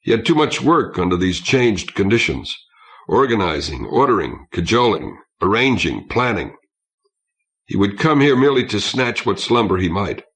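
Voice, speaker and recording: Low-voiced man narrating with lively intonation. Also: normal speed, low-quality recording. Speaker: audiobook narrator. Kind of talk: scripted narration of a science fiction story. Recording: old cassette audiobook transfer.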